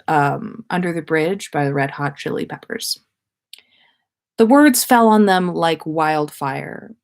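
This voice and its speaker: A woman host reading a story aloud in a storytelling style, with casual asides. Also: lowish voice. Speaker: podcast host reading listener stories.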